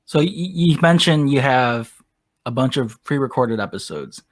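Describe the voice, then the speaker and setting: A man's voice: mid-range voice, lively intonation, a little slow, dry recording. A man hosting a podcast, chatting with his guest in a laid-back, casual way and often saying "man.". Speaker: podcast host. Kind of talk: casual interview chat.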